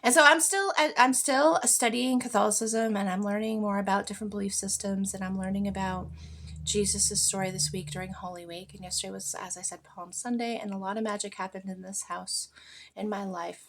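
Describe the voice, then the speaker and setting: An American woman with a noticeably creaky, mid-pitched voice. She talks informally and earnestly, like a podcast host thinking aloud about faith, and she puts emphasis on the words that matter to her. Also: steady tone, brisk, a bit bright, a little hiss or hum. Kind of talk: informal reflective spiritual monologue.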